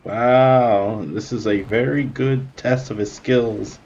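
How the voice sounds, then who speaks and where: A man giving casual, amused and expressive gameplay commentary as he plays through a story quest. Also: mid-range voice, takes it slowly, slightly rough. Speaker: streamer reacting to a game. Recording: slightly dull microphone, game music behind.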